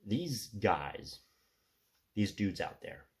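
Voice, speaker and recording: A man in his thirties talking through a rambling casual monologue with a stuffy nose, filling every pause with "you know" and "right". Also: fairly low pitch, sing-song. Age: 30s. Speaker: solo commentator.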